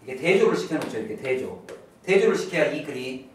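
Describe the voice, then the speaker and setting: Animated male teacher in an echoey room. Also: mid-range voice, distant mic, a little hiss or hum. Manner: animated, repeats key phrases slowly, drills students. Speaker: English teacher. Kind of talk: exam prep lecture.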